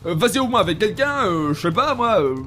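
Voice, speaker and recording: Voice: mid-range voice, sing-song, a little fast, fairly bright tone, a little hiss or hum. An actor playing a worried, flustered commander in an amateur audio drama, hesitant and stammering.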